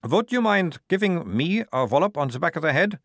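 A man's voice: on the high side, big pitch swings, a little fast, no echo, clean studio sound, pitched above the performer's own voice. A man doing a chummy, wheedling male guard. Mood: wretched.

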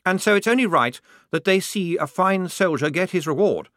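A man's voice: high-pitched, animated, normal speed, a bit bright, no room sound, studio quality, pitched above the performer's own voice. A man voicing a nervous, pompous but well-meaning army lieutenant in an audiobook, speaking in a politely chipper, hesitant way. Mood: grandly pleased.

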